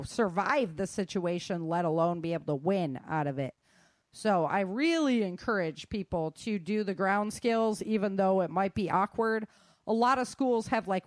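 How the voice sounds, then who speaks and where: A woman's voice: mid-range voice, dry recording. A middle-aged woman giving an informal talk in a candid, colloquial way with joking asides.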